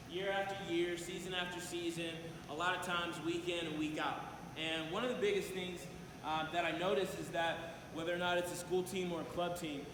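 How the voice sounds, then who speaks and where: A man giving a motivational talk as a coach at a conference, addressing his audience directly with frequent hesitant pauses, in a large room. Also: a little high. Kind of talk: motivational public presentation. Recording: very echoey noisy hall, long reverb.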